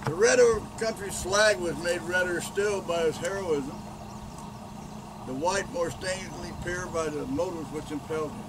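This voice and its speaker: A middle-aged man with a slightly raised voice delivers a formal, solemn graveside tribute as a veterans' chaplain. He is recorded outdoors at a cemetery from a distance, and steady outdoor noise sits behind his words. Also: sits high. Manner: measured, solemn ceremonial delivery. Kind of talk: formal graveside memorial address. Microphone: handheld mic at a distance, later unamplified. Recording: outdoor ambience and noise, mic from far off.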